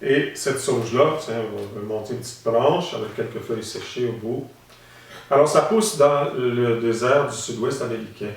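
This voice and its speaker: A man talks through a demonstration, calm and explanatory, stopping often on small hesitations. His voice sits at an ordinary pitch and rings around a very echoey room, picked up from some way off the mic. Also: normal speed, far from the mic. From Saskatchewan, Canada. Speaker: instructor. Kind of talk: instructional demonstration.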